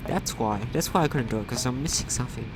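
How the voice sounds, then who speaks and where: Very loud noise surrounds a man casually commentating as he plays a game. He mutters to himself and answers back to the characters in a medium-pitched voice. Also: quick pace. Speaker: gamer commentating on own play. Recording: loud constant background noise.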